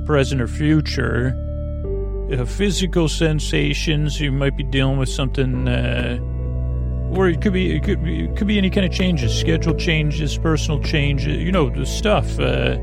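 A man with a creaky, lulling voice hosting a bedtime podcast in a meandering, halting way, often interrupting himself. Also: mid-range voice. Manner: soothing, lulling. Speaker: podcast host. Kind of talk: rambling bedtime sleep podcast monologue.

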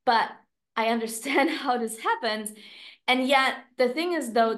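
German-accented woman, halting reflective talk. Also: on the high side. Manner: halting, searching for words, many restarts. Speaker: podcast co-host. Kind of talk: casual reflective chat.

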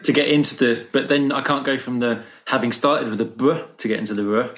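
A man's voice: mid-range voice, a little fast, a bit bright. Rambling nostalgic man from Britain. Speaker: podcast guest. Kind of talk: casual chat.

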